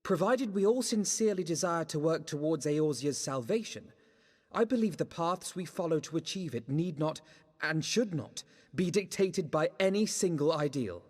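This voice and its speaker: A young British nobleman in a fantasy game. His voice sits fairly high, with formal, measured, earnest phrasing and long hall reverb. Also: lively intonation. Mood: earnest, resolute. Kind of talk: formal scripted game dialogue.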